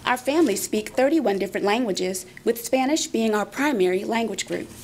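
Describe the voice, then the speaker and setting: A woman's voice: a little high, expressive, moderate pace, slight background noise. Woman narrating a school presentation. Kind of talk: scripted narration.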